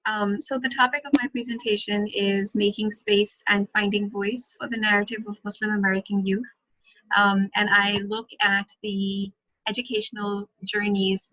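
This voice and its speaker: A woman in her thirties from Pakistan giving a measured conference presentation, with frequent ums. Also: mid-range voice, little pitch movement, bright, forceful tone, no room sound. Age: 30s. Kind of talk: academic presentation. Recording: muffled lo-fi audio.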